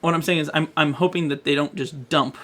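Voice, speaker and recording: A man's voice: fairly high pitch, steady tone, a little fast, pushed and bright. A man co-hosting a podcast, talking casually about pop culture in conversation. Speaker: podcast co-host.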